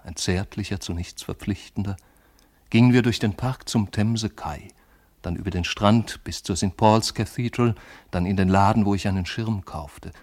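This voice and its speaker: An actor playing a lovesick, brooding man of letters in a literary radio monologue, speaking lyrically and intimately in measured phrases. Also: low voice, a little mellow.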